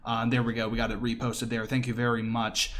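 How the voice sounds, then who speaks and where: A man's voice: mid-range voice, monotone, a little fast, fairly bright tone. A man giving casual, explanatory live commentary on a video game as its speedrunner. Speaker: speedrunner commentating own run.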